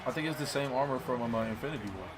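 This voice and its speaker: A man's voice: mid-range voice, racing. A young man chatting casually about a movie, blunt and slangy, often interjecting. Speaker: co-reviewer. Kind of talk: casual chat, movie discussion. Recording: crowd chatter, bouncing basketball sounds behind.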